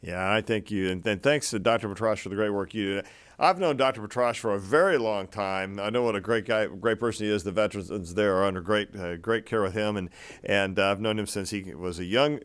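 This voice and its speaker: A man hosting a panel of doctors, conversational and collegial, with a folksy touch. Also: lowish voice. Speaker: host, physician moderator.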